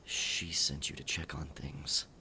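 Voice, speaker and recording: A man playing a theatrical, sentimental pirate captain in a scripted audio roleplay, with a gravelly voice, archaic pirate talk and a halting, stammering dramatic delivery. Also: rumbling low, flat delivery, pushed and bright, a little hiss or hum. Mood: quiet, hurt.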